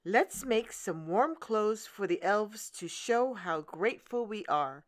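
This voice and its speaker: A woman reader plays a kindly storybook shoemaker, earnest and grateful. The delivery is slow and measured, with plenty of rise and fall at a middle pitch. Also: expressive. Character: grateful, kindly male shoemaker in a fairy tale. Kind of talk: children's storybook read-aloud.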